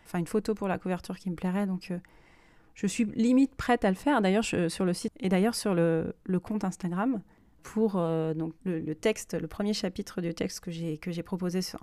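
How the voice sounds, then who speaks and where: A woman's voice: fairly low pitch, mellow, dry recording, clean studio sound. A woman hosting a personal podcast, speaking unscripted and reflectively, often hesitating as she searches for her words. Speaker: podcast host and self-published author.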